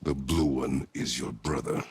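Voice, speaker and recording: An actor playing a stern, stoic warrior father, terse, grave and commanding, with a gravelly voice. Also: extremely low pitch, fairly even intonation, measured pace, a little mellow, no room sound, full character voice. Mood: stern, guarded.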